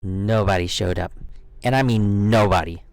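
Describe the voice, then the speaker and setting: A man reading a children's picture book aloud as storyteller, expressive and given to dramatic pauses. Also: lowish voice, gravelly, clear, resonant tone, no echo, clean studio sound.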